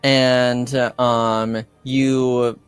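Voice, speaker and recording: A man running a tabletop roleplaying game casually as game master, halting and often searching for words. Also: medium pitch, flat delivery, clean tone, dry recording, faint music behind.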